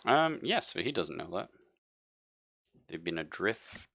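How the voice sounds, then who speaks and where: A man's voice: deep voice, very animated, measured pace, a bit bright, dry recording, clean studio sound. A man acting as game master, narrating and chatting casually in an easygoing, accommodating way. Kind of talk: casual tabletop game narration and table chat.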